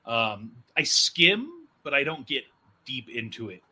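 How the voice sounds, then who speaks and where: A man's voice: medium pitch, big pitch swings, measured pace, bright, forceful tone, slightly rough. A man hosting a casual interview chat as a voice actor and producer.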